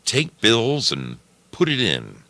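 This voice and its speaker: A man voicing a brisk, formal village official, giving directions in an emphatic, businesslike way. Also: medium pitch, wildly swooping pitch, bright, forceful tone, no echo, voice pushed up, heavily acted. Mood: directing.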